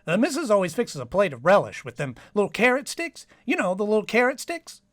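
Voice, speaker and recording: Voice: mid-range voice, wildly swooping pitch, a little fast, fairly bright tone, no room sound, clean studio sound. A performer playing a naive, earnest male police sidekick in a 1950s-style radio parody, rambling in a folksy, repetitive way. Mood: friendly, rambling.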